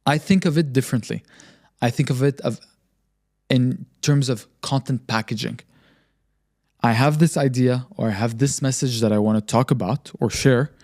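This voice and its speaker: A man's voice: medium pitch, darkish tone. A young man talking on a podcast in an assured, explanatory way. He lays out his advice plainly and hammers points home by repeating them. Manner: confident, explanatory, frequent emphatic repetition. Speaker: podcast host and marketing agency founder.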